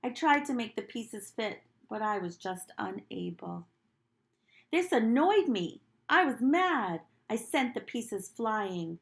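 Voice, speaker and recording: A woman reading a picture book aloud to young children in a deliberate, animated teacher's manner, with little asides to them. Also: fairly high pitch.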